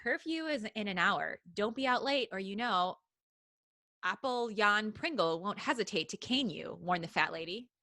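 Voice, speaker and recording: A woman's voice: medium pitch, lively intonation, moderate pace, bright, forceful tone, dry recording, studio quality. A woman co-hosting a podcast, reading a story aloud in a casual, playful way and breaking off often for banter. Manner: playful, giggly, digresses from the reading. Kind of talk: casual read-aloud of fan fiction with banter.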